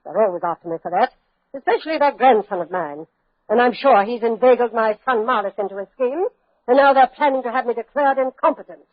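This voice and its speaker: An actress playing a cantankerous old British dowager duchess, haughty and brusque, in a 1940s radio drama. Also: female voice, fairly high pitch, lively intonation, fast talker, dry recording. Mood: commanding, indignant. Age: older. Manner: haughty, brusque, cuts people off. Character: imperious, cantankerous old dowager duchess, snuff-loving and brazen. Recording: muffled old radio recording.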